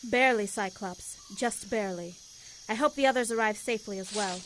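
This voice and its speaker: A woman's voice: mid-range voice, brisk, fairly bright tone, slight background noise, close to an everyday voice. A woman playing an earnest, wary, questioning heroine in an audio drama. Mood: surprised. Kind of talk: fan audio drama acting.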